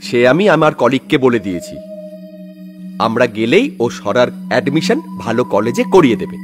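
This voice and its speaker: Earnest family man acted by a man, animated. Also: medium pitch, moderate pace, music in the background, lightly acted. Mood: reassuring. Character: earnest middle-aged family man, dutiful son.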